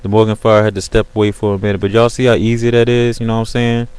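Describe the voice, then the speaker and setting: Low, smooth-voiced man, slangy casual talk. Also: completely flat delivery, fast talker, darkish tone, no echo. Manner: slangy, repeats "you know what I'm saying". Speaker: host of an online video call. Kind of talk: casual chat. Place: webcam video call.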